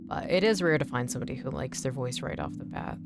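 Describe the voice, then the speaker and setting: A young woman streamer with a slightly raspy, nerdy voice, chatting casually and encouragingly to her viewers in a rambling way. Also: rumbling low, fast. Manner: rambling, encouraging, lots of "like" and restarts. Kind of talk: casual chat to stream viewers while drawing. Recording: music playing in the background. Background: music playing.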